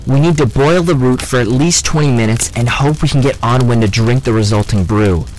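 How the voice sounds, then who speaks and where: An actor playing a calm, knowledgeable young mage healer, explaining things matter-of-factly in an audio drama. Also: male voice, mid-range voice, fairly quick, natural voice, poor audio. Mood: explaining, focused.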